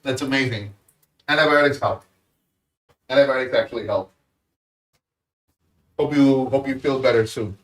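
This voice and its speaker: A man's voice: medium pitch, normal speed, long pauses. A man with an Indian accent, casually chatting with viewers while cooking on a live stream. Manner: easygoing, chats with viewers while working. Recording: roomy sound, mic at a distance.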